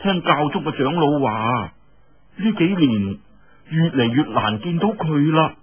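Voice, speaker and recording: A man voicing a proud, scornful young woman in a story, with a husky voice and indignant, drawn-out phrasing. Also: on the high side, expressive. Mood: thoughtful. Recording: muffled, dull lo-fi audio.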